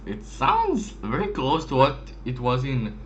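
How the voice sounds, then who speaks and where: A man's voice: mid-range voice, wildly swooping pitch, unhurried, muffled recording, slight background noise. A man giving casual play-along commentary on a video game. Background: video game ambience.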